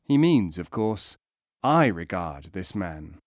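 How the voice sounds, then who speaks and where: A man reading philosophical teaching stories as a scripted audiobook narration, in a measured, emphatic, instructive way. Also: low voice, very animated, no room sound, studio quality.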